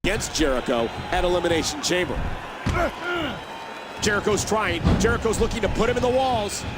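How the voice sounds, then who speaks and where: Man as urgent, high-pitched wrestling announcer. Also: normal speed, noisy background, close to an everyday voice. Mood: urgent, excited. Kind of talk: sports commentary in a video game. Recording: loud arena crowd and music.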